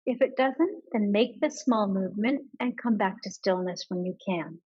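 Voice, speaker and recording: A woman leading a guided yoga nidra meditation in a calm, measured manner with pauses between instructions. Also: medium pitch, breathy edge, lo-fi sound.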